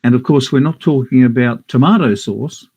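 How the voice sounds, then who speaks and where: A man's voice: medium pitch, normal speed, darkish tone, breathy edge. Chatty Australian man hosting. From Western Australia. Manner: chatty, with many fillers and restarts. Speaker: host. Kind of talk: casual live-stream commentary. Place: home office.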